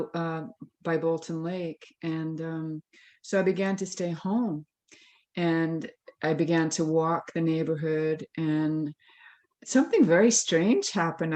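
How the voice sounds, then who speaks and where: A woman's voice: on the low side, a little mellow. A Scottish-born woman with an American accent, reflectively sharing a personal story over a video call. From Scotland. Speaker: congregation member. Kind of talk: casual sharing.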